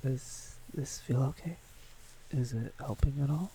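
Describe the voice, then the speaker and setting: A man playing a shy, tender, awkward young man, a friend's older brother, in an intimate ASMR roleplay, speaking softly and hesitantly with a stammer. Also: medium pitch, measured pace, gravelly, a little hiss or hum, poor audio. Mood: gentle, awkward. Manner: hesitant, stammering, gently teasing.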